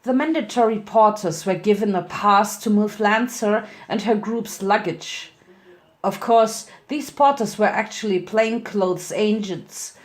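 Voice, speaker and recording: A woman giving a dramatic narrated reading of a story. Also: medium pitch. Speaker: fan fiction reader.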